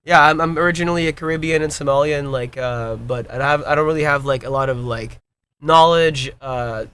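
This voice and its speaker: A man's voice: a little high, a little fast, a bit bright, smooth. A man talking loosely on a podcast, swearing often and rambling with restarts. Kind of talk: casual chat.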